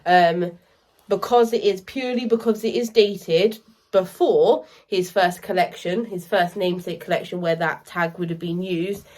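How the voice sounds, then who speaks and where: Lower-pitched woman from the UK, explains things step by step, casual vlog talk. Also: steady tone, a little mellow. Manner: practical, instructive, quick asides. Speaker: co-host, luxury reseller.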